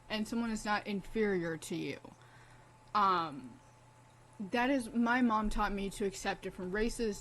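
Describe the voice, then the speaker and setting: A woman's voice: mid-range voice, a bit bright, slight background noise, poor audio. A young woman streaming a game in casual commentary, blunt, profane and impassioned as she talks.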